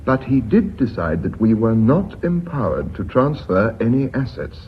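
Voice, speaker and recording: A man's voice: medium pitch, big pitch swings, moderate pace, natural voice. A man acting in a radio drama as a precise, deferential company secretary. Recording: dull radio recording, faint hiss.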